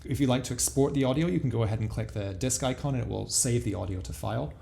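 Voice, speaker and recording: A man presents a software walkthrough in an informal, explanatory way. His voice is lower than most, with a mellow tone and a noticeable creak at the edges. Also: fast. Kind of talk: casual product walkthrough.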